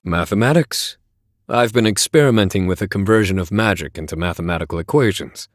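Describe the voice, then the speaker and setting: A man playing a stern, intense scholar of magic in a video game, blunt, measured and exacting. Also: sits low, slight background noise, close to an everyday voice. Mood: measured, intent. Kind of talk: dramatic game voice acting with brooding narration.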